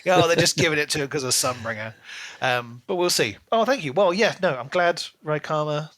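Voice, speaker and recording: A man with a bright, crisp-edged voice co-hosts a casual book podcast. He talks in animated, rambling asides, and the sound is dry with very little room. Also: fairly high pitch, a little fast, no echo. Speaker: podcast co-host.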